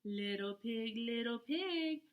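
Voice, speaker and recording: A woman voicing a sly, boastful male wolf in a children's story, with taunting, drawn-out threats. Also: fairly high pitch, animated, dull audio. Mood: menacing, taunting.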